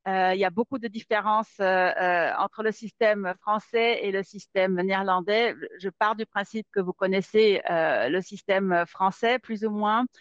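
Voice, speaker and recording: A woman's voice: medium pitch, fairly even intonation, a little slow, few pauses, dry recording. A woman giving a webinar as a lawyer, talking with many fillers and repeated words over a webcam on a video call. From Netherlands. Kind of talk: hesitant spoken presentation. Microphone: webcam or laptop mic on a video call. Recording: dull, dry lo-fi webinar audio.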